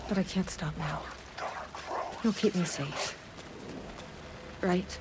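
A woman's voice: on the low side, slow pace, heavy background noise. An actress playing a wry, determined young woman in a video game. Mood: wry, uneasy.

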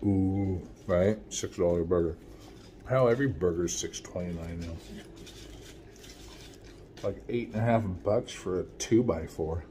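A man in his forties chats his way through a food review at home, his voice mellow and a little low. He talks easily between bites, recorded on a phone held close with a faint hiss behind him. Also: a little slow, pauses often. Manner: relaxed, chatty, talks while eating. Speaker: food vlogger. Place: small room at home, window blinds. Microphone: phone camera at arm's length.

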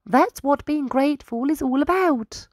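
A woman's voice: sits high, a little slow, darkish tone, dry recording, studio quality, voice pushed up. A woman voicing a small, bold, earnest squirrel royal scribe who proclaims and moralizes in a children's storybook. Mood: concerned, earnest. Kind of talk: children's storybook read-aloud.

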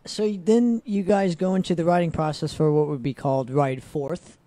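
A man's voice: fairly high pitch, steady tone, moderate pace, warm tone. A man hosting a podcast interview, recapping the story with many "um"s before each question, at a desk mic in a small studio. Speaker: podcast host. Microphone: desk mic with headphones.

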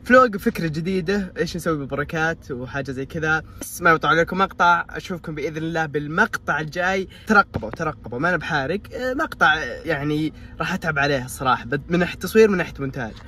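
A man's voice: fairly high pitch, rapid-fire, slight background noise. A young man with a Saudi Najdi accent, chatting casually to the camera as a vlogger, joking with friends, filmed on a handheld camera at arm's length. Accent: Saudi Najdi Arabic. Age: young adult. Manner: joking, lively banter with friends. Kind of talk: casual vlog chat. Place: bookstore, cafe and car. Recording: busy crowd behind.

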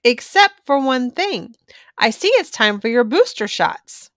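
A friendly lady bear doctor from a storybook, read by a woman. The voice is raised and melodic, brisk and encouraging as it explains things. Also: fairly high pitch, sing-song, no echo, studio quality.

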